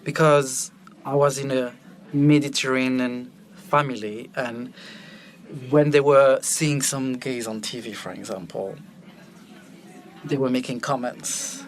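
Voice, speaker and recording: A man's voice: mid-range voice, fairly even intonation, takes it slowly, slight background noise. A man from Belgium whose first language is French, a film director guest talking candidly and with animation about his life, laughing easily. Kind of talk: candid personal conversation.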